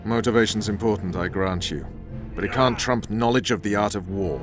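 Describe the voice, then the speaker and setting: Male actor as cynical spymaster captain, low pitch, menacing, blunt, video game dialogue, clear music behind. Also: fairly quick, lightly acted. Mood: hard, sardonic. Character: hard, cynical army captain and spymaster man, patriotic.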